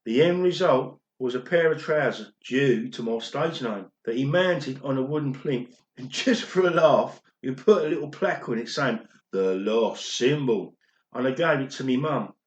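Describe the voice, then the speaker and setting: A man's voice: medium pitch, moderate pace, dull audio, lightly acted. A man playing an older, regretful former pop drummer who confesses an old secret in an amateur radio play. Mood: reminiscing. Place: recorded alone at home, indoors. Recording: roomy home recording.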